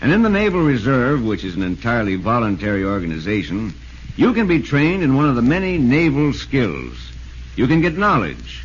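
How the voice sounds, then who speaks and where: An actor playing a chummy sports reporter who narrates in 1940s radio style. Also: male voice, medium pitch, wildly swooping pitch, fairly quick. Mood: earnest, persuasive. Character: chummy sports reporter, storytelling pal of a ballplayer. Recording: dull, lo-fi old radio, faint noise.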